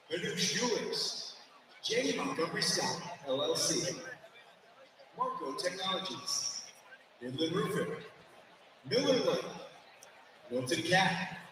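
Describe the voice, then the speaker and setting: Male arena PA announcer reading a list, animated pitch, very echoey noisy hall. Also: far from the mic. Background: arena ambience and noise.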